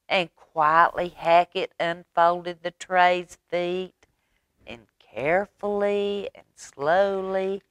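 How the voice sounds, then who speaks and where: Slow, low-voiced Southern woman reading to children. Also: clean tone, no room sound. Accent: Southern American. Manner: expressive, folksy, unhurried, dramatic whispers and asides. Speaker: library story time host. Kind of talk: children's read-aloud storytelling with asides.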